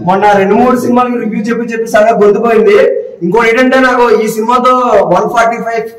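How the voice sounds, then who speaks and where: A man giving an excited, emphatic film review to a public interviewer, often shouting and breaking into rhyming slogans. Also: very high voice, very fast. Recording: echoey, noisy, distant from mic.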